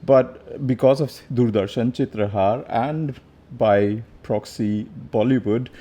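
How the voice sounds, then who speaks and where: A man from northeast India, with Bengali as his first language, co-hosts a casual podcast chat. His voice is warm and mellow, at an average pitch for a man. He talks in a relaxed, wry way. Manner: relaxed, wry, quick to joke. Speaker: podcast co-host.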